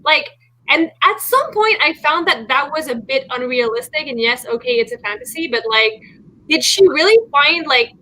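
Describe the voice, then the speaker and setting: A woman in a casual book-club chat, talking in an animated way and filling her sentences with "like". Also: sits high, moderate pace, low-quality recording. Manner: animated, fills talk with "like".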